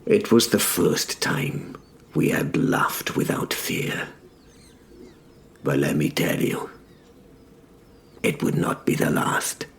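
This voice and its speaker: A man giving measured, dramatic first-person narration of a story in short phrases. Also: a bass voice, vocal fry.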